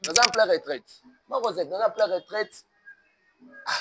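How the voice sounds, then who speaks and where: A man's voice: sits high, moderate pace, loud music behind the voice. A man from DR Congo with a Congolese French accent, speaking in an emphatic sermon-like cadence and mixing French with Lingala. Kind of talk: preaching-toned interview talk.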